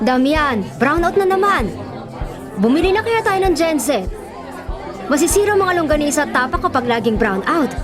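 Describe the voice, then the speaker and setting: Filipino radio-drama shopkeeper wife, kindly and practical, slightly high voice, music and bustle behind. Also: female voice, sing-song, moderate pace, a crowd in the background. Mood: mildly complaining. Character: kindly, practical storekeeper wife, patient with relatives.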